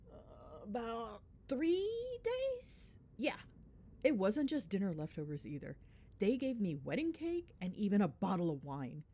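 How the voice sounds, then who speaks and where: A woman playing a chatty, cheeky, affectionate girlfriend in an ASMR roleplay, telling stories in an animated, sarcastic, dramatic way. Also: lowish voice, exaggerated voice. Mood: amused, gossipy. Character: chatty, cheeky, affectionate girlfriend gossiping while driving home. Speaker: voice actor.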